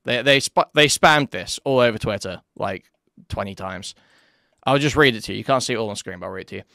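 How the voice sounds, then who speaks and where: A man's voice: fairly low pitch, brisk, gravelly, sharp tone, dry recording, clean studio sound. A young man doing online drama commentary, mocking and crude, chuckling at his own jabs. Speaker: commentary YouTuber.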